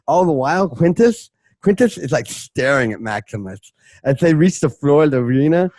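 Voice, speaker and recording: A man with a fairly high voice narrates a radio play in a dry, close sound. He treats the script loosely, slipping in wry, comic asides. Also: a little mellow, dry recording.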